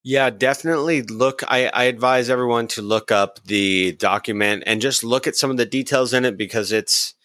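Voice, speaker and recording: A man co-hosting a podcast, talking in an animated, jokey, rambling way. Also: medium pitch, moderate pace, few pauses, no echo. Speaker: podcast co-host. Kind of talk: casual podcast talk.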